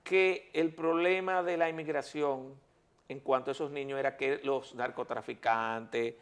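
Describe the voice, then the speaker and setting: A man's voice: medium pitch, steady tone, unhurried, clear, resonant tone. A man, a lawyer guest on a talk show, arguing insistently and repeating words to hold the floor. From Dominican Republic. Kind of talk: heated talk-show debate.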